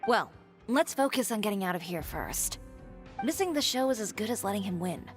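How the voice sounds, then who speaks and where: A cool, resolute young woman, acted for a video game, speaking at a medium pitch. She sounds composed and matter-of-fact as a proud fashion designer, with faint music behind her. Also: expressive, fairly quick, close to an everyday voice.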